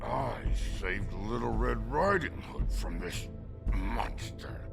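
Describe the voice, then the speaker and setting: An actor playing a drunken, foul-mouthed brute with a harsh gravelly voice and an aggressive swagger in a noir game. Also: male voice, fairly low pitch, animated, slow, full character voice. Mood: boastful rage. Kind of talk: cinematic noir game acting. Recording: faint music behind the dialogue.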